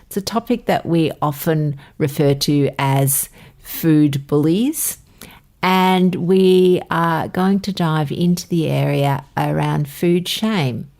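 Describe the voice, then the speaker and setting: Woman, Australian accent, deep voice, upbeat, bantering, podcast co-host. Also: fairly even intonation, slow pace, creaky, darkish tone. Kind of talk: casual podcast chat.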